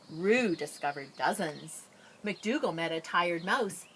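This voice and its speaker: A woman reading a children's picture book aloud expressively, with drawn-out dramatic phrasing and playful asides. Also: medium pitch, a bit bright, a little hiss or hum. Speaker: storybook reader.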